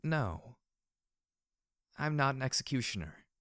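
A man voicing a young female pony, soft-spoken, weary and troubled, in a narrated story. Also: mid-range voice, lively intonation, normal speed, no room sound, studio quality, pitched above the performer's own voice, close to an everyday voice. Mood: weary, dejected. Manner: soft, quiet, muttering.

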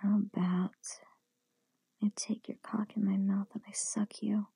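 A woman speaking in a breathy whisper, commanding and teasing, in an intimate adult audio roleplay addressed to the listener. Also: mid-range voice, flat delivery, a little slow, dark tone, dry recording.

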